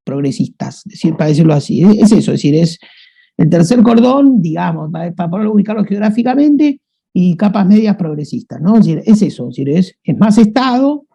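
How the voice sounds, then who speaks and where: A man's voice: high voice, sing-song, fairly quick, creaky, mellow, slightly rough. A man from Buenos Aires with a Rioplatense accent, speaking as a guest in a casual interview, rambling with many restarts and fillers. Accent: Rioplatense Spanish. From Buenos Aires, Argentina. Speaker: guest writer. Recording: dull lo-fi sound.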